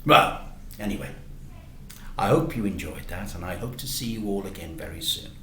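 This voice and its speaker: Expressive man reading to children. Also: sits low, moderate pace, a little hiss or hum. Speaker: storyteller, teacher. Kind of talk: children's story narration. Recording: roomy, echoey sound.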